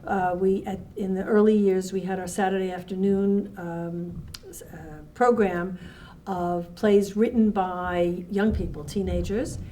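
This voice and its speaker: Distant-sounding woman speaking haltingly, some background noise. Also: mid-range voice, steady tone, dark tone. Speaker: theater festival co-founder being interviewed. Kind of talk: conversational recollection for a documentary.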